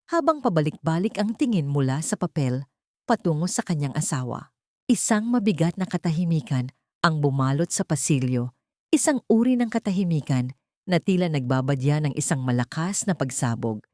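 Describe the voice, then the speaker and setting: A woman's voice: low voice, darkish tone, no echo, clean studio sound. A woman with a Filipino accent narrating a dramatic scripted story as an audiobook reader.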